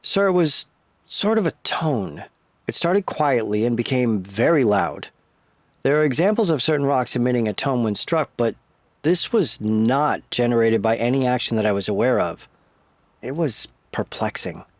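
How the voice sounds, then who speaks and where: A man acting as an uneasy, apologetic researcher in an audio drama. He speaks politely and haltingly at a middle pitch. The recording is close and very dry. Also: expressive, no echo, natural voice. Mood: uneasy, perplexed. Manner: halting, formal, deferential. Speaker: field researcher reporting to his boss.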